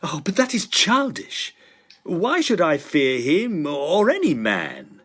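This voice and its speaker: A man's voice: on the high side, huge pitch swings, slow, a bit bright, exaggerated voice. A man playing a sceptical, self-assured young student in a period drama, with formal, articulate phrasing. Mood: dismissive, confident. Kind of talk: dramatised first-person period narration and dialogue.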